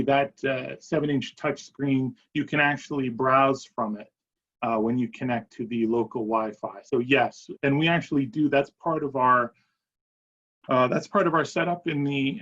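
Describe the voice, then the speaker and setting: A man giving an informal, somewhat halting product presentation and answering questions as a company presenter in a webinar. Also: medium pitch, a bit bright. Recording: dull, lo-fi webinar audio.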